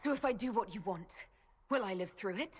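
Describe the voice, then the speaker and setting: A woman's voice: medium pitch, a little fast, a little hiss or hum, lightly acted. An actress playing a defiant, self-possessed young female elf mage held prisoner in a video game. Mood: wary. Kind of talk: dramatic video game acting.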